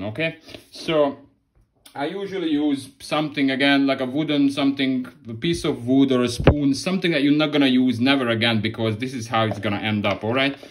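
Relaxed man explaining a craft. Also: medium pitch, slight background noise. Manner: relaxed, loose phrasing with many restarts. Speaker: model-making tutorial host. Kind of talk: casual hands-on tutorial. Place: indoor hobby workspace.

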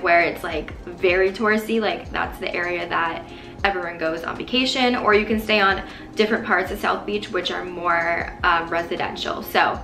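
A woman's voice: on the low side, bright, forceful tone, roomy sound. A woman in her twenties chatting casually to camera as a vlogger, answering viewer questions with many likes and ums. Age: 20s. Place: small bedroom indoors.

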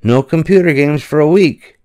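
A man's voice: fairly high pitch, normal speed, dark tone, pitched above the performer's own voice, natural voice. A man voicing a busy, firm but kindly aardvark mother in a children's storybook.

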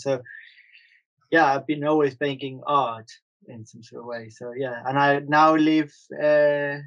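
A man's voice: mid-range voice, muffled recording. A man in his thirties from Argentina, an artist talking casually and hesitantly in an interview, with many fillers and restarts.

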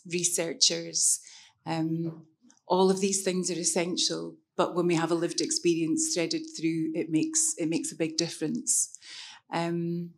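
A middle-aged Scottish woman on a panel, speaking thoughtfully into a handheld mic on a conference stage, with frequent ums. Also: lowish voice. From Scotland. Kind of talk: reflective personal talk on stage.

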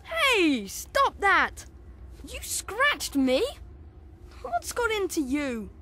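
Casting: an excitable schoolboy in audio drama, high animated voice, loud noise behind. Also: female voice, very slow, full character voice. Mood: startled. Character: young schoolboy, excitable and earnest.

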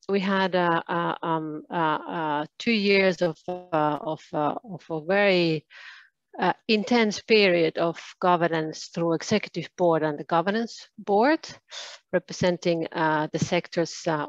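A woman giving a conference keynote over a video call, talking in a halting way with many fillers and restarts. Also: fairly low pitch, no echo, dull audio. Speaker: keynote speaker. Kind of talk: conference presentation.

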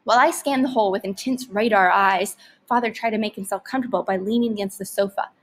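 A woman's voice: mid-range voice, quick pace. A woman reading a story aloud expressively, like a teacher narrating to students.

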